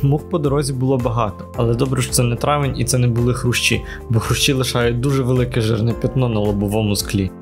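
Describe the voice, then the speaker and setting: A man's voice: medium pitch, fairly even intonation, fast, vocal fry, few pauses. A man narrating his own travel vlog in a casual voice-over, with wry, joking asides. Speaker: travel vlogger. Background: music.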